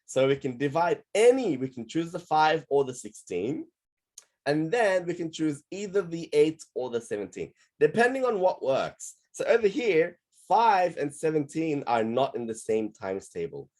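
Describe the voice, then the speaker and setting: A man teaching maths on an online class call, his voice going hoarse, explaining patiently step by step. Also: a little high, sing-song, normal speed, a little mellow.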